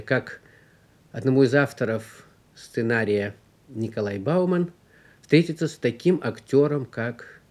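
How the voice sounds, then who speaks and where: A man recalling his work as a screenwriter on a radio programme, formal and measured, building long rhetorical lists. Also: medium pitch, darkish tone. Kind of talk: formal spoken recollection.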